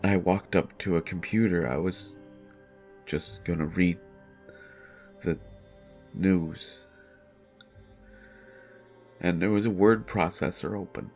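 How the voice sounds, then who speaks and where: A male actor plays a haunted, distraught man confessing in an audio horror drama. His voice is very low and his delivery is slow, halting and full of stammered repeats. Faint music sits behind him. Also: steady tone, darkish tone. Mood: grim, dread-filled. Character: haunted, distraught male writer jailed for his son's death. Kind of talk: dramatic first-person horror monologue.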